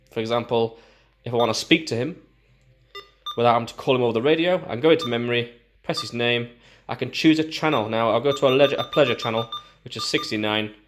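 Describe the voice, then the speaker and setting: A man talks through a piece of gear at a medium pitch. He explains it informally and step by step, pausing and restarting as he demonstrates each part. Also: fairly bright tone, a little hiss or hum. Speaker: gear reviewer. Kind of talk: casual hands-on product walkthrough.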